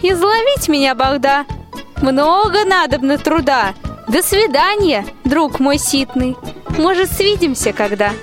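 A woman as a high-voiced, defiant peasant wife. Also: expressive, a little slow, music in the background. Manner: spirited, declamatory. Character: defiant, loyal young peasant wife, sharp-tongued. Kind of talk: rhymed folk-tale radio play, amateur school production.

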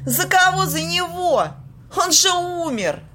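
A woman acting a bossy, streetwise young woman in an amateur audio play, husky, slangy and blunt. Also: very high-pitched, expressive, a bit bright, heavily acted. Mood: skeptical. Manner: slangy, blunt, drawn-out exclamations.

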